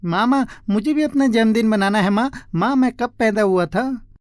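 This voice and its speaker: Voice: mid-range voice, normal speed, no room sound, natural voice. A performer voicing a poor little boy in a moral story, eager and insistent, with a whining way of talking.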